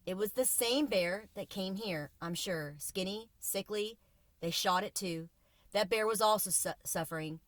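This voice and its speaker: A woman's voice: medium pitch, measured pace, dry recording, lightly acted. Woman reading as a shaken, earnest young man. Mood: somber.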